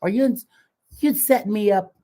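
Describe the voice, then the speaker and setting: An older woman co-hosting a casual live chat, telling animated stories and laughing often. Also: mid-range voice, a little slow, noisy background. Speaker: livestream co-host.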